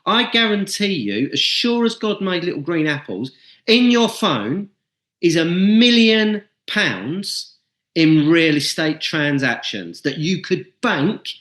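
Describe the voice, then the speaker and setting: A man's voice: sits high, fairly bright tone. A man co-hosting a podcast, ranting bluntly and swearing freely in casual chat. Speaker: podcast co-host. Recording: echoey room.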